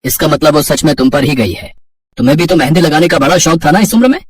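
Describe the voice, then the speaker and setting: A man's voice: fairly high pitch, animated, quick pace, slightly rough, recorded from a distance. A man voicing a teasing, doting middle-aged father in a Hindi cartoon story. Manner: playful, teasing.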